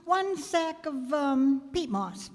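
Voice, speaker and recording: A woman's voice: high-pitched, very animated, slow, darkish tone, heavily acted. Reserved, uneasy housewife, played by a woman, radio drama. Lilting, hesitant, short replies, recorded in a reverberant hall. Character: reserved, worried housewife shopping for groceries. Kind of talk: live radio play acting. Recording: reverberant hall, live stage performance.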